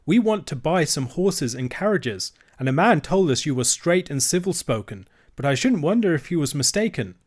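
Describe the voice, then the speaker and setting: A man's voice: a little high, sing-song, normal speed, hardly any pauses, husky, pitched above the performer's own voice. A man voicing a polite, earnest young boy.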